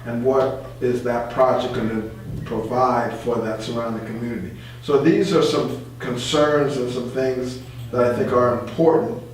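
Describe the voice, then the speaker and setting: A man's voice: medium pitch, fairly even intonation, normal speed, a little mellow. A man speaking as a community advocate guest in an informal radio discussion, explaining and repeating phrases to stress his points. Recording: very echoey room, distant mic, faint noise.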